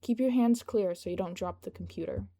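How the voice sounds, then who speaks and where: A woman voicing a matter-of-fact male aide who gently chides his boss in an audio story. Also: medium pitch, fairly quick, lightly acted. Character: patient, practical male aide to a young boss.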